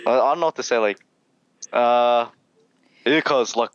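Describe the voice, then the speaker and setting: A man's voice: medium pitch, measured pace, clean tone. A man of twenty-one with an Australian accent, chatting as a guest on a video call, laughing often and filling with "like". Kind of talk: casual video-call interview. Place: small bedroom indoors. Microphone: headset mic on video call. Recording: dry, slightly dull headset audio.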